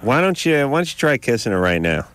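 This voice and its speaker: A man's voice: mid-range voice, very animated, fast, background noise. A middle-aged man hosting a radio call-in show, riffing sarcastically with callers and co-hosts on a studio mic. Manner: sarcastic, riffing with comic tangents. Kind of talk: casual radio banter with callers. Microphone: studio microphone.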